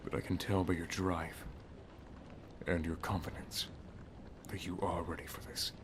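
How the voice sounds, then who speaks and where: A man playing a solemn, protective wizard master and lover in an ASMR roleplay, speaking softly and gravely, often half-whispering. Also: deep voice, level tone, measured pace, background noise. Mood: earnest. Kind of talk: ASMR roleplay, dramatic monologue.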